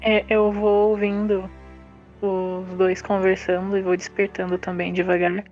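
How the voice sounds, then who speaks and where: A woman's voice: medium pitch, little pitch movement, unhurried. A woman, a roleplay player, narrating what her character does. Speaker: tabletop RPG player. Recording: muffled lo-fi audio under music. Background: background music.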